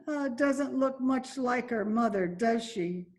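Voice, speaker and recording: A woman's voice: high voice, lively intonation, slow pace, exaggerated voice. A woman playing a faded, despairing former Broadway dancer and mother from Spain in an amateur radio drama, speaking with bitter drama. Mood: confiding, subdued. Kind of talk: amateur old-time radio drama acting. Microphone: video call recording.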